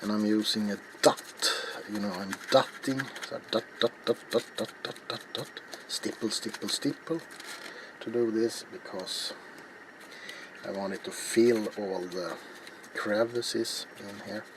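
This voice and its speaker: Slow, low-voiced man narrating crafts. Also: steady tone. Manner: rambling, halting, repeats words. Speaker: host of a craft video channel. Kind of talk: casual hushed ASMR craft demonstration. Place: small cluttered indoor workshop. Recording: noisy room ambience.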